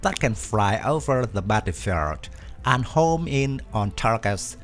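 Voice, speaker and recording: A man reading a scripted documentary narration at an even, measured pace. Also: on the low side. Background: faint background music.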